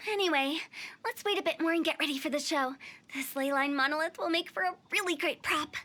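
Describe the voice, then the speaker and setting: Very high, bright, mischievous girl. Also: a little fast. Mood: playful, chirpy. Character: mischievous, playful, eccentric young female funeral parlor director. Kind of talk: anime video game voice acting. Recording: game music behind.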